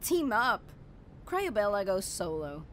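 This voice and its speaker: A woman's voice: mid-range voice, very animated, slow pace, a bit bright, slight background noise. A woman playing a brash, hot-tempered young superheroine in a comic dub, over prominent music. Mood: defiant. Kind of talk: acted comic dub dialogue.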